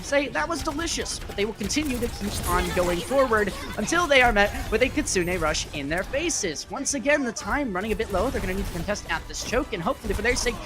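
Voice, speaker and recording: An esports commentator calling live play-by-play in a chatty way that gets animated with the action. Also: mid-range voice, fairly quick, hardly any pauses, music in the background.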